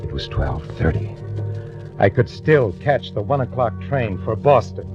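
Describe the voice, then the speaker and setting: A man's voice: fairly low pitch, sing-song, measured pace. An actor playing a lonely, meek male bank clerk with a nervous, bitter edge, in 1940s radio drama style. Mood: bitter, despairing. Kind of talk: 1940s radio acting, first-person dramatic narration. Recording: dull vintage radio recording. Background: dramatic music.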